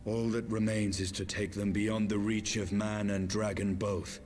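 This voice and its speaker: A man performs a proud, weary dragoon in a game cutscene. His voice sits low, grimly resolved, formal and measured, with soft music behind him. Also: flat delivery, natural voice. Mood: grim resolve. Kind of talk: video game cutscene acting.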